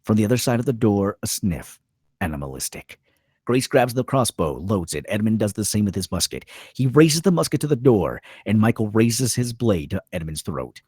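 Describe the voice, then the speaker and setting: A man narrates a film script with a deep, raspy voice. His intonation swings widely through short, suspenseful phrases and dramatic pauses. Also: sing-song, a little mellow, no room sound, clean studio sound. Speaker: narrator reading stage directions.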